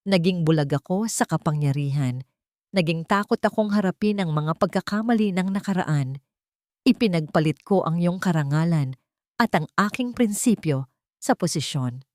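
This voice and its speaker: A woman's voice: fairly low pitch, animated, normal speed, darkish tone, no echo, clean studio sound, natural voice. A woman voicing a humbled older father in an audio story, apologetic and confessional. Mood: remorseful. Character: remorseful father, older man humbled by past mistakes.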